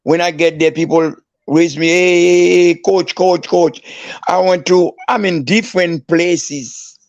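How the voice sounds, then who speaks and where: An older man, a former coach, recalls his playing days in a rough, gravelly voice that sits a little high. His English is halting, full of restarts, with phrases circled back to. The sound is dry and close. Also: steady tone, no echo, muffled recording. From Haiti. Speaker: guest, former player and coach. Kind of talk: casual storytelling.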